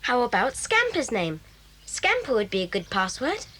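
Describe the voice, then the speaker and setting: A woman's voice: on the high side, wildly swooping pitch, moderate pace, sharp tone, heavily acted. A woman voicing a cheeky, stubborn young schoolboy in an 1980s audio drama, argumentative and defiant.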